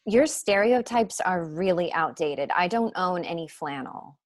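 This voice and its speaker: A woman's voice: fairly low pitch, animated, unhurried. A woman playing a defensive, sincere young college student in a staged play reading.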